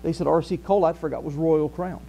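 A man's voice: a little high, quick pace, vocal fry, highs cut off. An older man with a Southern US accent, preaching a conversational sermon as pastor in a small church hall. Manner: conversational preaching, animated gestures, walks the stage. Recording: faint mains hum.

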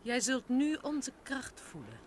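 A cold, distant alien-controlled wife. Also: female voice, high voice, huge pitch swings, unhurried, heavily acted. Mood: coldly resolute. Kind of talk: 1970s radio drama acting.

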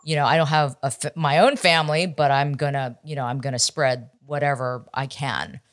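A woman's voice: a bass voice, fairly even intonation, normal speed. A middle-aged woman hosting a podcast from home on a studio mic, earnest and effusive in casual interview chat. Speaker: podcast host. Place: home room, indoors. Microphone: studio mic on arm with headphones.

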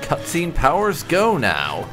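A man's voice: fairly high pitch, huge pitch swings, takes it slowly, music in the background. A man hosting a gaming video, giving casual playthrough commentary in a dry, sardonic way.

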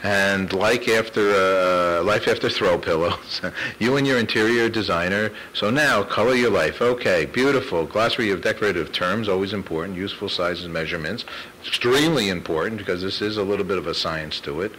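Brief: male talk-radio host, low voice with a forceful edge, chatty and quick to laugh. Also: slight background noise, poor audio. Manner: chatty, laughs often, reads out a list. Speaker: radio show host and producer. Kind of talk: casual phone interview.

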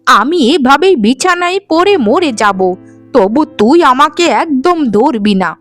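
A spiteful, self-pitying old mother-in-law in a cartoon melodrama played by a woman. Her high voice drags and wails through the words, with faint music behind it. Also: animated, measured pace, a bit bright. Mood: spiteful, cursing.

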